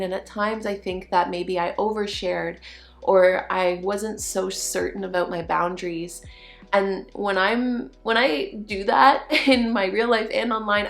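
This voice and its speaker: A young woman, a little hoarse from a cold, giving a casual personal update as a podcast host, reflective and pausing to find her words. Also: fairly low pitch, a bit bright. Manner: reflective, searching for words, frequent restarts.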